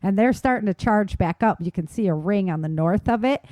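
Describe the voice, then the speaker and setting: A woman with a mellow, dark-toned voice talks as a show co-host. She comments on the news in a conversational but opinionated and emphatic way. The sound is dry, with very little room around her. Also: mid-range voice, lively intonation, brisk, no room sound. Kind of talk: informal news commentary and chat.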